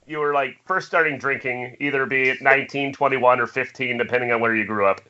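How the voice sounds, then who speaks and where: A man's voice: mid-range voice, steady tone, brisk, pushed and bright. A man chatting casually with friends on an internet call, telling stories in an emphatic, joking way. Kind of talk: casual storytelling among friends. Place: at home on a group video call. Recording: muffled, faintly noisy internet call.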